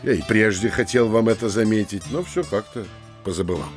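An actor playing a pompous, middle-aged provincial mayor, deliberate and admonishing, in a theatrical audio drama. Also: male voice, on the low side, faint music behind, natural voice.

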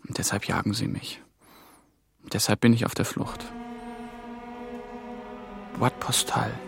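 Hunted young man, gravelly and close. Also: fairly low pitch, no echo, heavily acted. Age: young adult. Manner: terse, clipped phrases. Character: hunted young male EU trainee, anxious and bitter. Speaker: protagonist and narrator. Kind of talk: German radio drama, first-person narration and dialogue.

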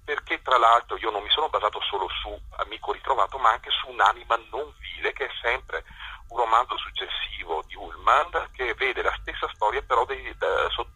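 A man being interviewed as a theatre director over a telephone line, speaking spontaneously and haltingly, repeating words as he forms his sentences. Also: medium pitch, sharp tone, husky, no echo. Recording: tinny, harsh telephone line.

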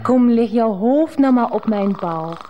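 A woman's voice: a little high, animated, unhurried, muffled recording, crowd noise. A woman playing a sweet, doting German lodger in a radio play, coaxing and slipping German words into her German-accented speech. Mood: soothing.

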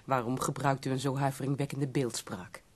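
An actress playing an earnest, concerned visitor, speaking in formal literary phrases in a radio drama. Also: female voice, very deep voice, fairly even intonation, a little fast, darkish tone. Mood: admiring, troubled. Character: earnest, concerned visitor of a sick poet, recounting memories. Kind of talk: radio drama acting and narration.

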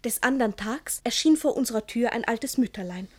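A woman's voice: fairly high pitch, animated, normal speed. An actress playing a naive, innocent young woman who tells her story in rhymed verse with artless eagerness, in a classic comedy radio play. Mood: earnest, artless.

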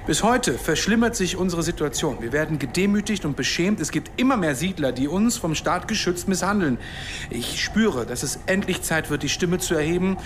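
A man's voice: a little high, lively intonation, normal speed, a little hiss or hum, close to an everyday voice. An actor playing an aggrieved, proud male peace activist in a German radio drama, speaking in an earnest, declarative way.

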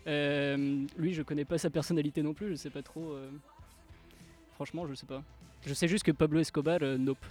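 A man's voice: a little high, steady tone, moderate pace, a little mellow, faint music behind. A young man from Andalusia, a native Spanish speaker, chatting hesitantly as a guest on a student radio show. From Andalusia, Spain. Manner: hesitant, many fillers, laughs often. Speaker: mystery guest. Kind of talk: casual radio chat.

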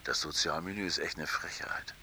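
An actor playing a pushy, nonchalant working-class friend with schemes in a radio comedy, colloquial. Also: male voice, very deep voice, steady tone, fairly quick, pushed and bright, heavily acted. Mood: insistent, scheming. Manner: colloquial, insistent. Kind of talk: radio comedy drama acting.

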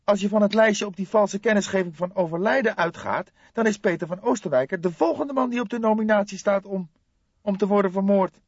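A man voicing an excitable, impulsive young police detective in an audiobook, full of suspicious questions and exclamations. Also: high-pitched, fairly quick, breathy edge, dry recording, dull audio, pitched above the performer's own voice. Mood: startled. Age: young adult. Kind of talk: audiobook character dialogue.

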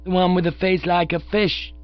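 A man's voice: sits high, level tone, normal speed, dull audio, pitched above the performer's own voice, lightly acted. A man voicing a stout, brash young American boy, blunt and bossy, in a storybook reading. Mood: blunt disdain.

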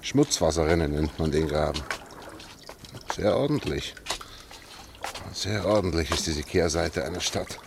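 Low-voiced sardonic guide, water trickling. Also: male voice, expressive, unhurried, creaky, pauses often, full character voice. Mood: dry, mocking. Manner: dry, brusque, mocking. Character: gruff, sardonic factory man guiding an escape. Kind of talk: radio drama acting. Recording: trickling water noise behind the voice. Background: trickling, dribbling water.

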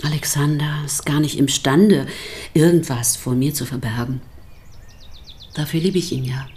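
Woman doing pastor's wife in radio drama, low voice, dry and wry, composed, measured. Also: fairly even intonation, darkish tone, slight background noise, close to an everyday voice. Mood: reflective, wry. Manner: dry, measured, delicately euphemistic. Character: composed, dryly ironic pastor's wife giving testimony. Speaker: actor in a radio play. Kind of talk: German radio drama acting.

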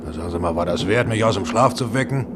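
Ein Schauspieler spielt in einem deutschen Hörspiel einen herrischen, ungeduldigen Filmproduzenten, knapp und umgangssprachlich. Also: male voice, on the low side, lively intonation, fast talker, background noise, natural voice. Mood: impatient, unimpressed. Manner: curt, colloquial, commanding. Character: bossy, impatient, blunt Hollywood film producer. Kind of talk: German radio drama acting.

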